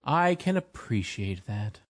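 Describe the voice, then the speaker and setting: Tender-hearted tin man, high and sing-song, sympathetic, played by a male narrator. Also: huge pitch swings, unhurried, a little mellow, heavily acted. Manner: pleading, sympathetic.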